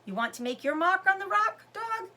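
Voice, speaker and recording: A woman's voice: very high voice, big pitch swings, normal speed, bright, forceful tone, voice pushed up, full character voice. A woman voicing an eager, adventurous young pioneer boy in a storybook reading. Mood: eager, upbeat.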